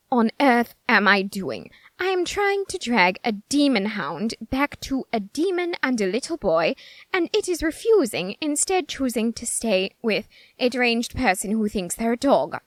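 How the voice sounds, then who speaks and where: A woman's voice: on the high side, dry recording. A woman performing a flamboyant, haughty and easily exasperated supernatural character in an ASMR roleplay, with a theatrical, condescending, sarcastic drawl. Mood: annoyed, sarcastic.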